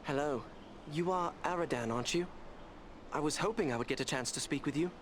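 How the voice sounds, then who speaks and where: Guy as little halfling in a fantasy game, lightish high voice, earnest n polite, faint noise. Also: a little fast, close to an everyday voice. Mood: grateful, friendly. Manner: polite, eager. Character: small male halfling, gentle, earnest, grateful. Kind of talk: fantasy video game character acting. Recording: faint background ambient noise.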